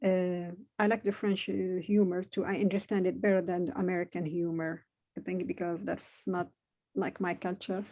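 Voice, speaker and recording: Hesitant Moroccan woman, mellow voice. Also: on the low side, level tone. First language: Moroccan Arabic. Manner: hesitant, many pauses and "uh" fillers. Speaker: interviewee. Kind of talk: conversational interview.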